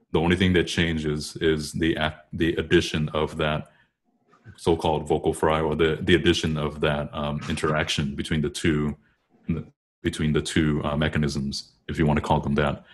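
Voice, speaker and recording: A man giving an informal, thoughtful technical explanation as an interview guest, halting and rephrasing as he goes. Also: extremely low pitch, little pitch movement. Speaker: guest singer and choral bass.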